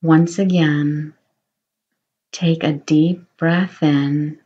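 A woman guiding a meditation in a slow, soothing voice, offering gentle reassurance. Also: sits low, fairly even intonation, muffled recording. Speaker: meditation guide. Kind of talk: guided meditation.

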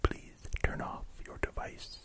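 A performer voicing a playful, gently menacing vampire dog count in a slow, repetitive ASMR whisper, close to the microphones. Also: lightly acted. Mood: polite, soothing. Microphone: close pair of microphones.

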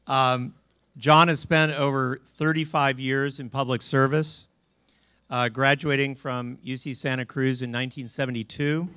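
A man at a podium in a large banquet hall introducing the keynote speaker, friendly and a little halting, chuckling between facts. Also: mid-range voice, sharp tone, smooth. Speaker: event host introducing speaker. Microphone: podium microphone.

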